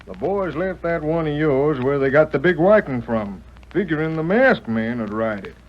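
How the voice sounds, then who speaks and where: An actor playing a rough, trigger-happy outlaw henchman in an old-time radio Western, drawling folksy American cowboy talk. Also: on the high side, lively intonation, moderate pace, lightly acted. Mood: plotting. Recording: muffled old radio recording with hiss.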